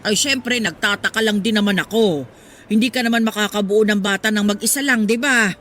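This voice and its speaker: An actress playing a judgmental older village busybody in a radio drama, prying with false concern. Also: female voice, medium pitch, fairly quick, fairly bright tone, close to an everyday voice. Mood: insinuating. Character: nosy, judgmental older village gossip woman. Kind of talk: Tagalog radio drama acting. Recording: background music under dialogue.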